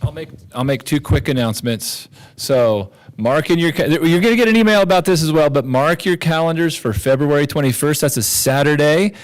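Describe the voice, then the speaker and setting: A man's voice: fairly high pitch, brisk, rough recording. A man casually making announcements to a class in a synagogue chapel, often correcting himself as he goes. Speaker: class organizer. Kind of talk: informal announcements.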